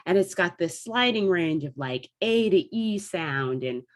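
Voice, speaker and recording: A woman's voice: lowish voice, lively intonation, unhurried. A woman with an American Midwestern accent explains things in a casual, chatty way, like a theatre member discussing her craft. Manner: chatty, demonstrates vowel sounds as she explains. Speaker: theatre company member recounting dialect training.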